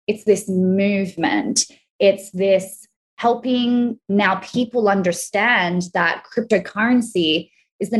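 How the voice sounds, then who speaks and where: Australian-accented female guest, warmly eager storyteller, ordinary mid-range voice. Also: mid-range voice. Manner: enthusiastic, earnest storytelling. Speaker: guest, crypto educator. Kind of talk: casual interview chat.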